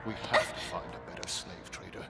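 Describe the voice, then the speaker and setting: An actor playing a haughty, cruel master who guards an oracle in a video game, imperious and scornful in a theatrical way. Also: male voice, brisk, lightly acted. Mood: sneering, amused. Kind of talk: video game villain acting. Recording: clear music behind the voice.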